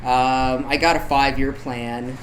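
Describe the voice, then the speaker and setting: A man's voice: mid-range voice, level tone, slow, fairly bright tone, low-quality recording. Man chatting over noticeable background noise. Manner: informal, conversational, peppered with tag questions like "right?". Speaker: guest, photographer and artist. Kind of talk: casual chat, telling anecdotes. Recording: clear background noise, room ambience.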